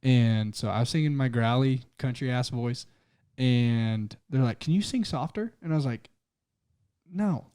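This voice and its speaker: A man's voice: mid-range voice, unhurried, mellow, clean tone, no echo, studio quality. A young man from Utah, a singer-songwriter guest, chatting loosely and swearing as he rambles through a story. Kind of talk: casual podcast chat.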